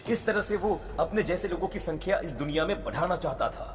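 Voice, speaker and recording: Grave, commanding priest, fairly high-pitched. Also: male voice, animated, fairly quick. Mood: solemn. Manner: solemn, instructive, ominous. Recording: long reverb, faint music.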